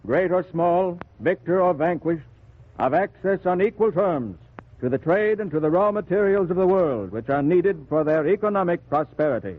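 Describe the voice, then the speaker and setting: An actor playing a stately, emphatic reader of a solemn charter in formal 1940s radio declamation. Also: male voice, sits high, lively intonation, normal speed. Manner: formal, oratorical. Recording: tinny old radio recording.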